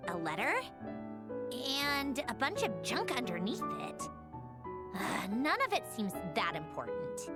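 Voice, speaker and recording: A woman's voice: a little high, wildly swooping pitch, a little slow, loud music behind the voice. An actress playing a proud, witty young woman inventor who muses in a self-assured way in a video game. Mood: curious, smug.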